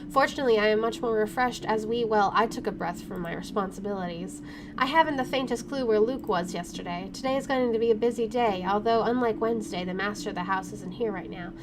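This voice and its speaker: A woman game streamer reading visual novel narration aloud, with her own asides. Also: mid-range voice. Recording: clearly noisy home recording.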